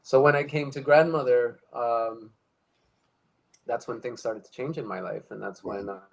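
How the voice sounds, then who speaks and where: A man's voice: medium pitch, steady tone, normal speed, gravelly. A young man from Ecuador, a guest telling his personal story in a casual conversation, thoughtful and full of fillers and restarts. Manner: reflective, many fillers and restarts.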